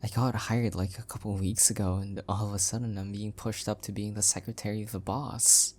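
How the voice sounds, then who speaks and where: A man playing an adorkable, self-deprecating young husband in an intimate one-sided audio roleplay, speaking softly and hesitantly with affection. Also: lowish voice, level tone, brisk, close to an everyday voice. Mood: bashful, unsure.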